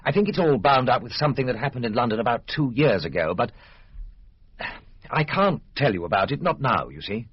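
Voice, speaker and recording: An actor playing an uneasy, guarded and gossipy gentleman in a radio drama, telling things in a hesitant, clipped way. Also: medium pitch, expressive, brisk, breathy edge, dry recording. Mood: anxious recounting. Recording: dull lo-fi radio recording.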